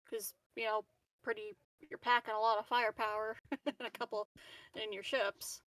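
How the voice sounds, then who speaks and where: A woman's voice: on the high side, level tone, moderate pace, a bit bright, no room sound, low-quality recording. A woman playing a tabletop roleplaying game, chatting informally as she offers suggestions. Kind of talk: casual game chat.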